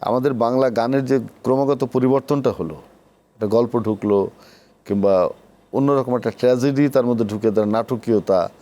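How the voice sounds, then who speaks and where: Man, Bangladeshi accent, mid pitch, mellow dark tone, cultural talk show host, conversational delivery. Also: moderate pace. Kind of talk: conversational cultural interview hosting.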